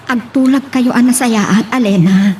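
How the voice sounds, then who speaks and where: Woman acting a highish-voiced, concerned friend. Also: measured pace, darkish tone, a little hiss or hum, close to an everyday voice. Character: concerned, supportive female friend visiting hospital. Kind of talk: Ilocano radio-style melodrama acting.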